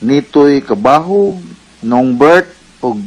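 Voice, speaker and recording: Slow-paced Cebuano Filipino man hosting radio. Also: medium pitch, animated, a little mellow, poor audio. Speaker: radio host and advice-giving doctor. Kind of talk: radio advice program presentation.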